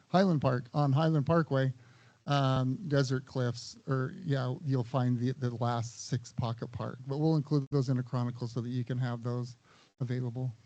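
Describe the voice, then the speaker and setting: A man serving as an HOA operations director at a town hall meeting, losing his voice and clearing his throat, methodically giving spoken directions and correcting himself. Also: a little high, darkish tone, dull audio.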